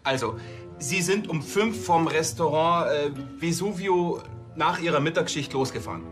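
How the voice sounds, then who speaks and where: Man playing a higher-pitched naive young policeman. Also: normal speed, music in the background, close to an everyday voice. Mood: earnest, inquisitive. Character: earnest, naive junior policeman.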